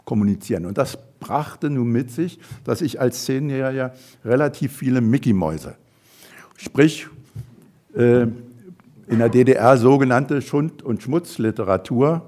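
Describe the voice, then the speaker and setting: A man's voice: mid-range voice, gravelly, a little mellow. An older man from Berlin giving an invited talk at an evening event, speaking thoughtfully with frequent fillers and restarts. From Berlin, Germany. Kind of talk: lecture talk.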